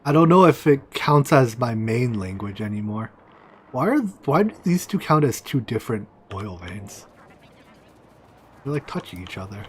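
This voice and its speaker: A man whose first language is Chinese, casually talking to himself and his viewers while he plays a game, with mumbled asides and excited outbursts. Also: medium pitch, normal speed, a little hiss or hum. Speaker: streamer. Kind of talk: casual gameplay commentary.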